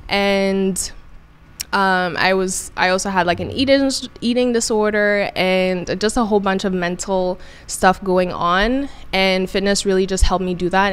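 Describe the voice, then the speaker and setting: A woman in her twenties from Ghana, a guest chatting in an interview with many pauses and restarts. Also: fairly low pitch, smooth. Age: 20s. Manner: hesitant, many ums and restarts. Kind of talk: casual interview chat.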